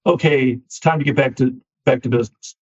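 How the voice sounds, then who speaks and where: A man, a finance company CEO, answering interview questions with a slightly scratchy voice, measured and often repeating words as he starts a thought. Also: medium pitch. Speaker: equipment finance CEO, guest. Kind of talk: business podcast interview. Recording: dull lo-fi sound.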